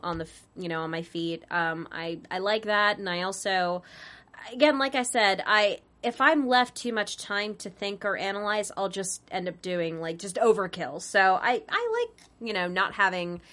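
Brief: young woman guest, bright forceful tone, laughs easily, rambles and restarts. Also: medium pitch, expressive, normal speed. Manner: giggly, self-deprecating, rambling with restarts. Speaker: voice actress guest. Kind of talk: casual interview chat.

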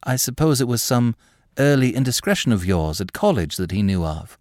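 A man voicing a calm, shrewd young woman who questions gently and sympathetically. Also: mid-range voice, moderate pace, dry recording, studio quality, voice pushed up, natural voice. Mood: calm, probing.

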